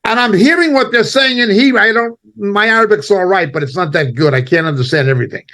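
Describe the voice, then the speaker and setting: A man giving impassioned spoken commentary as a preacher, indignant and emphatic, speaking in short halting phrases. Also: high-pitched, a bit bright.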